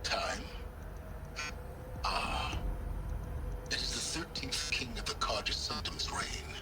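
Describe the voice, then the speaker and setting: An actor playing a shrewd, coolly ambitious male scholar in a video game, speaking in a measured, calculating, sardonic way. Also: expressive. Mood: probing, coaxing. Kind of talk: scripted video game acting. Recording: loud music and rumble behind.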